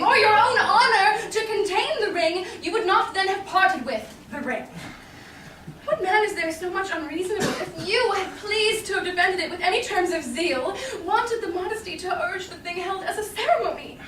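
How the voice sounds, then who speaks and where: A young woman playing a proud, reproachful, sharp-witted noble wife in a staged Shakespeare reading, declaiming indignantly. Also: very high voice. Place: small indoor theatre stage. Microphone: far audience camera. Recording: roomy, noisy distant audience camera.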